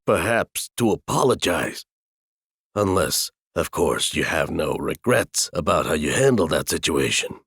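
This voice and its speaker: A man playing a calm, patient advisor in an audio drama, measured and questioning. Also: a bass voice, vocal fry, breathy edge, full character voice. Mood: probing. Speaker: voice actor. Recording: music under the voice.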